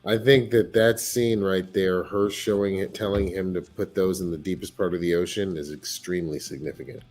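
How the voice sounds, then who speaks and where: A man's voice: deep voice, steady tone, normal speed, a little mellow. A man in a casual fan discussion, explaining his points earnestly and at length.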